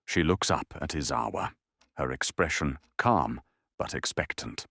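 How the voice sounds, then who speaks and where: A man's voice: rumbling low, expressive, measured pace, gravelly, a bit bright, dry recording, studio quality. A man narrating an anime audio drama in a dramatic, scripted storytelling style.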